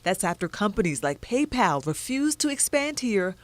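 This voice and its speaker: A woman's voice: mid-range voice, sing-song, normal speed, no room sound. A woman giving a scripted live news report as a TV reporter. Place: outside an arena in a city.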